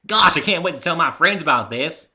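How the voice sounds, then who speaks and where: A man's voice: a little high, lively intonation, brisk, pushed and bright, voice pushed up. A man voicing an eager, innocent little country filly, a cartoon pony with a Southern accent, in a story reading. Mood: curious, excited.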